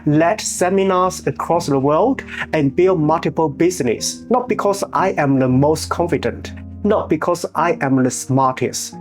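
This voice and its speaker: A man in his thirties with a Chinese accent, hosting his own channel and giving earnest, measured, scripted motivational narration with small grammar slips. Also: on the high side. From China. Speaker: channel host.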